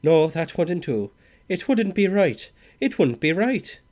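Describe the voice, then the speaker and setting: A man's voice: sits high, big pitch swings, a little slow, darkish tone, voice pushed up. A man doing a wailing old Irish innkeeper. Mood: mournful firm. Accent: Irish brogue. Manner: pleading, wailing. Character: old male Irish innkeeper, mournful, stubborn.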